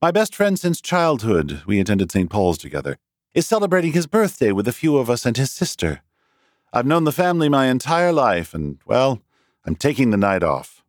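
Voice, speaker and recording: Brief: a man playing a confident young gentleman researcher, breezy and formal, lively delivery. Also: medium pitch, normal speed, no room sound, clean studio sound, voice pushed up, lightly acted. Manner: breezy, talkative, slightly formal. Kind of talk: audiobook character reading.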